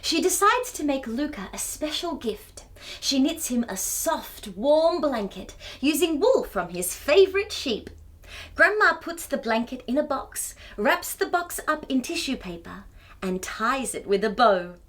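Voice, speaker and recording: A woman reading a story aloud to young children in an expressive, exclaiming manner, often putting questions to her listeners. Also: high voice, measured pace.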